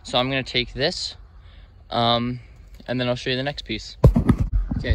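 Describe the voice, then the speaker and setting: A man's voice: mid-range voice, noisy background. A young man giving a casual, loosely worded how-to demonstration as a series host, recorded outdoors on a camera's built-in microphone. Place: outdoors in a wooded park area.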